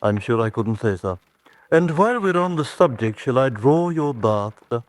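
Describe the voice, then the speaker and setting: A man's voice: medium pitch, lively intonation, moderate pace, no echo, lightly acted. Man as a formal, droll manservant. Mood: droll, deferential. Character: dutiful, dryly gossipy British gentleman's manservant. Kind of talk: old-fashioned radio drama acting.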